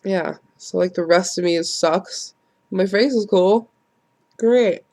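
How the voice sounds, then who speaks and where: A woman's voice: fairly low pitch, slow pace, warm tone. A woman, congested and nasal from a cold, rambling frankly through a casual vlog, swearing and laughing at herself. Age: late 20s. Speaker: vlogger.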